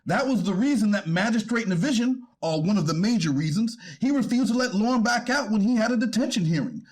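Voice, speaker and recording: A defense attorney played by a man pleads for his client in a staged courtroom reading. His voice is high and husky, with lively swings in pitch. He stays formal and deferential to the bench, turning hesitant when challenged. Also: fairly quick. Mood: hesitant, conceding. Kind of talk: readers theater courtroom transcript reading.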